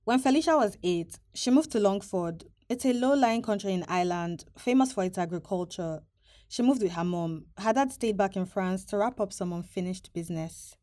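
A woman hosting and narrating a documentary podcast in a measured, explanatory way. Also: medium pitch. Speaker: host and narrator. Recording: soft music bed behind voice.

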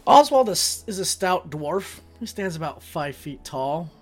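A man's voice: fairly high pitch, sing-song, a little slow. A young man answering interview questions casually as a player describing his fantasy game character. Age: young adult. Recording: music behind the voice. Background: background music.

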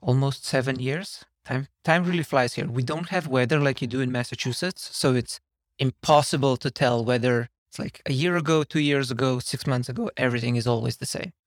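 A man from Slovenia, a software engineer guesting on a podcast, who talks casually and wanders off on tangents. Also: mid-range voice, fairly quick, no room sound, studio quality. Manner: rambling, self-deprecating, digressive.

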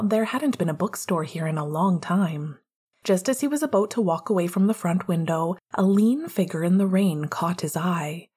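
A woman's voice: on the low side, a little mellow, slightly rough. A woman reading fiction aloud as scripted narration, in the manner of an audiobook reader.

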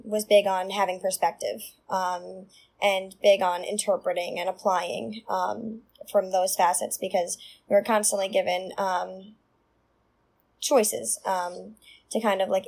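Chatty woman, gravelly edge. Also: medium pitch, a little mellow, low-quality recording. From Long Island, New York. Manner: chatty, many ums and likes. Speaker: teacher. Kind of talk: casual discussion.

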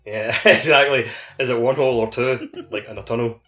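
A man's voice: medium pitch, fairly even intonation, brisk, fairly bright tone, faint music behind. A man co-hosting a gaming podcast, chatting informally and peppering his talk with "like". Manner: informal, frequent "like". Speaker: podcast co-host. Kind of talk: casual chat on a gaming podcast.

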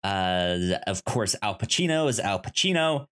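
Slow, halting man chatting about film. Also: on the low side, sing-song, a bit bright, smooth. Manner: halting, stammering, repeating words. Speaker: podcast co-host. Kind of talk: casual film discussion.

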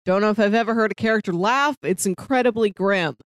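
A woman's voice: medium pitch, fast, smooth, dry recording, clean studio sound. A woman co-hosting a podcast, talking casually as she reviews a TV show. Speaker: podcast co-host.

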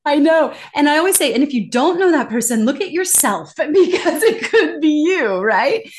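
A woman talking in a conversational interview as a communication trainer, animated and emphatic, laughing often. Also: high voice, normal speed, slight background noise.

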